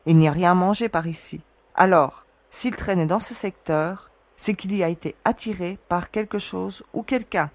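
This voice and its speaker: A woman acting a resolute young space explorer who reasons calmly and gives orders. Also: fairly low pitch, normal speed, hardly any pauses, dry recording. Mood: steady, reasoning. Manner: calm, reasoning, commanding. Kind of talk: audio drama acting.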